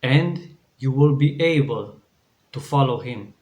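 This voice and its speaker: Slow, earnest Romanian-accented preacher. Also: male voice, medium pitch, darkish tone. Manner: earnest, deliberate, with frequent restarts. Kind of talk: devotional sermon. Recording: echoey room.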